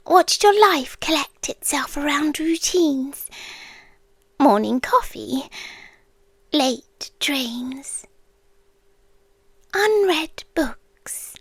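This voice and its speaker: A British woman voicing an ancient, lovestruck, obsessive end-of-the-world entity in a hushed, intimate ASMR roleplay, with deliberate pauses, close to the microphone. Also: sits high, no room sound, full character voice. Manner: slow, hushed, deliberate delivery with long pauses. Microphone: close, dry studio microphone.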